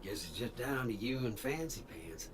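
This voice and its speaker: A man's voice: medium pitch, animated, moderate pace, distant mic, a little hiss or hum, close to an everyday voice. A man playing a drawling, folksy cowboy robot. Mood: folksy, breezy. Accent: American Southern. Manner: jaunty, folksy, overfamiliar. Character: folksy, chummy cowboy robot from a video game. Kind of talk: video game character dialogue.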